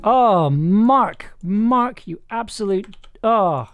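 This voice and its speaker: A woman thinking aloud in casual livestream commentary as she works, sometimes muttering, sometimes playfully animated. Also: mid-range voice, drawn-out words, narrow, tinny audio, faint music behind. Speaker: streamer editing an audio drama. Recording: keyboard clicks and typing noise. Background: computer typing and mouse clicks.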